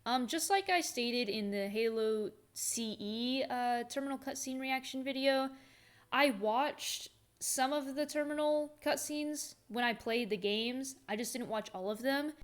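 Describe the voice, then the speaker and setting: A woman's voice: high voice, unhurried. A woman giving casual live reaction commentary as a video host, musing aloud and asking herself questions.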